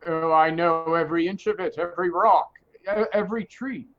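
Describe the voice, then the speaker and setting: An actor playing a grumpy, frightened old miser in a radio drama, stammering and exclaiming. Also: male voice, fairly high pitch, steady tone, moderate pace, fairly bright tone, lightly acted. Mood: amazed. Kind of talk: theatrical radio drama acting. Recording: dull lo-fi sound with noise.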